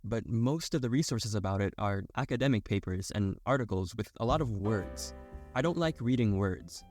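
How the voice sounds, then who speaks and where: A man's voice: lowish voice, lively intonation, moderate pace, darkish tone, faint music behind. A young adult man reading a scripted technical explainer as a narrator.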